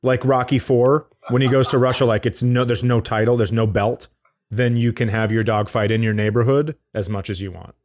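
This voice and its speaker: Man, medium pitch, podcast co-host, casual comic banter, teasing, sweary, mock-serious. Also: steady tone, normal speed, darkish tone. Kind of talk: casual comedic banter and storytelling.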